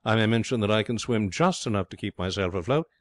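A man's voice: on the low side, expressive, fast talker, dry recording, natural voice. A man voicing a breezy, self-satisfied young schemer in a comic story, florid and cajoling with cheerful pomposity. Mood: breezy urging. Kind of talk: storybook character voice in comic fiction reading.

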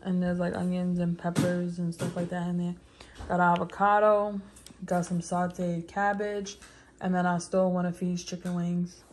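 A woman's voice: on the low side, flat delivery, normal speed, darkish tone, slight background noise. A young woman vlogging casually to camera in an informal, slangy, rambling way. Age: young adult. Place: parked car, then home kitchen. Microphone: phone held at arm's length.

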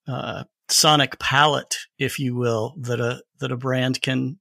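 A middle-aged man explaining ideas as an expert guest, in a measured, deliberate way. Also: mid-range voice, flat delivery, vocal fry, sharp tone, no echo, studio quality. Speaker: podcast guest, audio branding expert. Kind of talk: conversational explanation.

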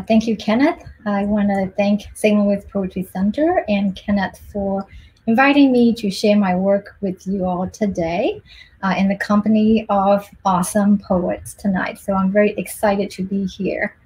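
A middle-aged woman from Myanmar on a video call from her home office, introducing and reading her poems in a measured, soft-spoken way. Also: mid-range voice, fairly even intonation, poor audio. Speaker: poet.

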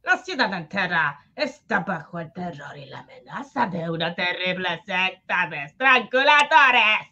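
A woman's voice: lowish voice, very animated, a little slow, bright, forceful tone, lo-fi sound, heavily acted. A woman playing an impatient, scolding male police colonel in an amateur comic-book radio drama. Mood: blustering. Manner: exclamatory, scolding, theatrical.

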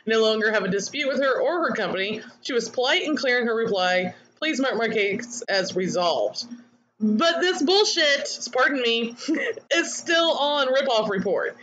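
A woman's voice: fairly high pitch, a bit bright, highs cut off, slight background noise. A woman coaching online marketers in a webinar, chatty and candid as she reads out attendees' comments. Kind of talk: webinar teaching.

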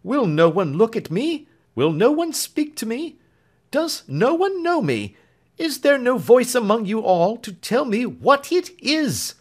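Man playing a frantic young man, raised voice, loud and strained, wildly rising. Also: very high-pitched, sing-song, a little slow, pitched above the performer's own voice, heavily acted. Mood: anguished. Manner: desperate, crying out. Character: anguished young man returning home, desperate.

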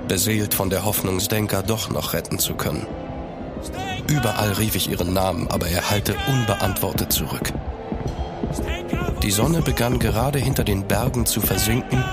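An actor playing an ardent young nobleman in a horror audio drama, earnest and expressive. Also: fairly low pitch, close to an everyday voice. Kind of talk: dramatic first-person narration and dialogue.

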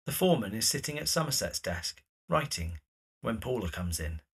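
A narrator reading scripted stage directions for an audio drama in a measured, descriptive way. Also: mid-range voice, big pitch swings, slightly rough.

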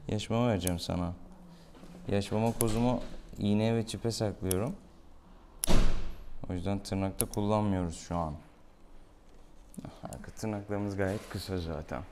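Young man, deepish gravelly voice, casual vet talk, playful pet coaxing, small exam room. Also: steady tone, moderate pace, long pauses. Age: young adult. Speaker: veterinarian. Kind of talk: casual chat while treating an animal. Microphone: camera a few steps away. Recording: noisy room, camera mic at distance.

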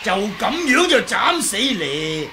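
An actor playing a boastful, wily, comical old martial artist in a Hong Kong Cantonese radio drama, chattering and teasing in drawn-out phrases. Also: male voice, sits high, big pitch swings, background noise, exaggerated voice. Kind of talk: 1980s radio drama acting.

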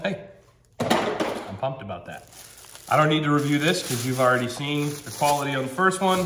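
A man's voice: mid-range voice, roomy sound, noisy background. A man in his thirties with a slightly nasal voice from a cold, reviewing a product in a chatty, upbeat way at a table at home. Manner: chatty, upbeat, thinks aloud with ums.

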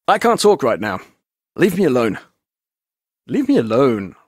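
A wry British tour guide. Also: male voice, mid-range voice, huge pitch swings, unhurried, breathy edge, no echo, clean studio sound, heavily acted. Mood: curt. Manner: sarcastic, joking. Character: wry, cash-strapped young male tour guide, injured. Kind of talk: video game character voice lines.

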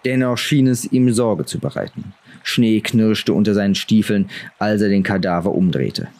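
A man's voice: lowish voice, lively intonation, moderate pace, darkish tone. A man reading a fantasy novel aloud as a dramatic audiobook narrator.

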